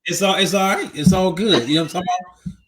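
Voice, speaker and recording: A man's voice: high-pitched, racing, fairly bright tone, breathy edge, low-quality recording. A man co-hosting a podcast in casual chat, easygoing and conciliatory, with slangy turns of phrase. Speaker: podcast co-host.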